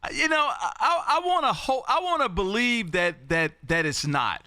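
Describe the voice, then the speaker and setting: A loud, exuberant man chatting as an amateur radio livestream host, emphatic and prone to repeating phrases. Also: sits high, very animated, normal speed, no echo, clean studio sound. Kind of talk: ham radio contact chat. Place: indoor home radio room.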